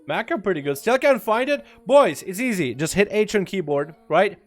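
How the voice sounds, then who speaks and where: A young man with a slight Russian accent chats loosely on a game stream, joking and calling his viewers "boys". His voice sits a little above the usual pitch for a man. Faint music plays behind him. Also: animated, normal speed. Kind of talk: casual livestream chat.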